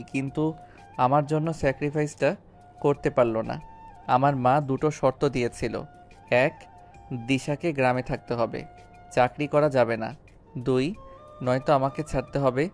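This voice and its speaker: অডিও গল্পে একজন পুরুষ অভিনেতা এক তরুণ স্কুলশিক্ষক স্বামীর চরিত্রে, আন্তরিক ও অনুনয়ের সুরে প্রমিত বাংলায় কথা বলছেন।. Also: male voice, mid-range voice, fairly even intonation, measured pace, long pauses, a little mellow, lightly acted. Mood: helpless, regretful. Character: earnest young schoolteacher husband, apologetic and pleading. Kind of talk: audio story drama acting. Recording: background music under voice. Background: music.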